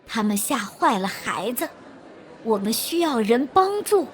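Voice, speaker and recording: A woman's voice: a little high, very animated, unhurried, breathy edge, exaggerated voice. A woman playing a worried townswoman in a children's storybook. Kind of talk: storybook dialogue.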